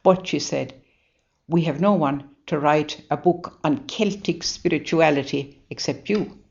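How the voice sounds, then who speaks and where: Low-voiced older Irish nun. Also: female voice, creaky, darkish tone. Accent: Irish, Waterford. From Ireland. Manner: earnest, halting, many restarts. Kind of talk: interview reminiscence. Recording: dull lo-fi recording.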